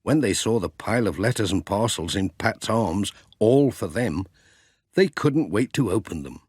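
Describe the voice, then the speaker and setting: Husky, gently lilting male storyteller. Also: moderate pace, dry recording, studio quality. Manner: gentle, sing-song, lively pitch. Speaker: audiobook narrator. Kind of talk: scripted storybook narration.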